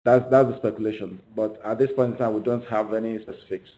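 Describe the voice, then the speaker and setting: Measured man with a mellow, dark voice. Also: on the low side, fairly even intonation, rough recording. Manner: halting, measured, formal. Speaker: company executive answering investor questions. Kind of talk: formal conference call answers. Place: conference call.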